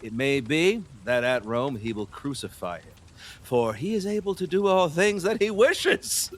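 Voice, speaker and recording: A man's voice: on the high side, expressive, measured pace. A man acting a pompous, lecherous, superstitious king in an audio play, grandiose and rambling. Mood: boastful, elated.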